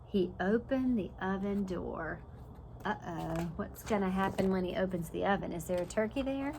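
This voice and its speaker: A woman reads a children's picture book aloud like a preschool teacher, in an animated way, pausing to put questions to young listeners. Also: medium pitch, a little hiss or hum.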